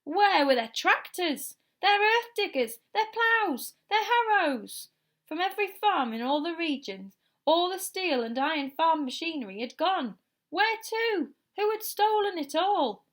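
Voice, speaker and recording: High-pitched woman reading a children's story. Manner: dramatic, deliberate phrasing with emphatic questions and drawn-out words. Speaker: teacher reading aloud to children. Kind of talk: expressive children's storybook narration.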